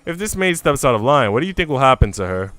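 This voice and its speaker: A man's voice: medium pitch, sing-song, fast, fairly bright tone, dry recording, faint music behind. A man voicing a cruel, haughty young noble lady in a visual novel, imperious and taunting. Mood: threatening.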